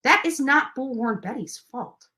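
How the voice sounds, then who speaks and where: A woman hosting a livestream in a bright, sharp voice pitched on the high side. She rants with indignation, leaning hard on her words. Her delivery is confrontational and emphatic, and she is willing to swear. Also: lively intonation, a little slow. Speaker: livestream host. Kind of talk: impassioned livestream rant.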